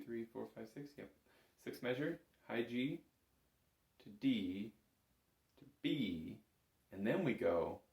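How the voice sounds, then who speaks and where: A man's voice: lowish voice, vocal fry, a little mellow. A man giving a step-by-step music lesson as an instructor, patiently counting and calling things out. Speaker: bagpipe instructor. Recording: roomy, echoing room sound.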